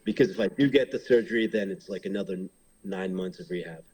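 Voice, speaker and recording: A man's voice: sits low, quick pace, darkish tone, husky, low-quality recording. A man in his thirties from Hong Kong, a pro wrestler, talking casually in an interview and rambling with many fillers and restarts.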